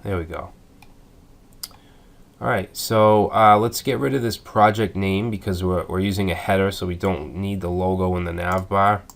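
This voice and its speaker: A man with a stuffed-up voice from a cold, calmly explaining web code step by step in a screencast tutorial. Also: low voice, moderate pace, gravelly. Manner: methodical, thinking aloud with fillers. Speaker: coding tutorial instructor.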